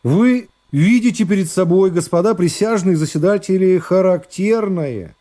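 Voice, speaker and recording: An actor playing a self-satisfied, dim-witted prosecutor who orates with drawn-out words and affected pauses in a radio play. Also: male voice, high-pitched, warm tone, close to an everyday voice. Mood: pompous. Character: pompous, self-satisfied, dim-witted male assistant prosecutor orating in court.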